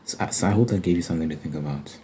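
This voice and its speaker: A man's voice: deep voice, racing, heavy background noise. A man speaking in an informal ASMR religious style, earnest and conversational, with repeated phrases for emphasis. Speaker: ASMR Bible study host. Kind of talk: ASMR, informal religious talk ending in prayer.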